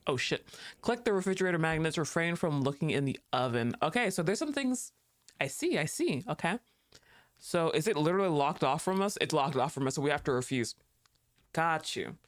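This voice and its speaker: A man streaming a horror game live, chatting casually, cursing freely and talking back to the characters on screen. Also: a little high. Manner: casual, joking, swears freely. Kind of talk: live gameplay commentary.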